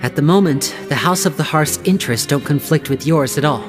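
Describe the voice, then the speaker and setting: A woman's voice: deep voice, fairly quick, lightly acted. A woman voicing a sly, composed young male stage magician in a video game, articulate and breezy like a showman. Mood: confident. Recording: music behind the voice.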